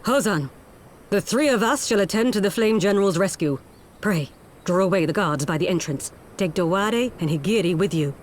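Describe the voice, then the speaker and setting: A woman's voice: mid-range voice, lively intonation, normal speed, a little hiss or hum, close to an everyday voice. A woman playing a composed ninja leader. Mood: commanding. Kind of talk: video game voice acting.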